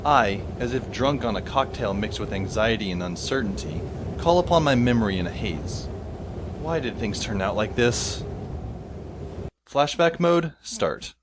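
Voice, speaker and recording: A man's voice: mid-range voice, expressive, unhurried, background noise, natural voice. A man playing a wry, sardonic teenage boy narrating a fan audio drama with dry, sarcastic asides.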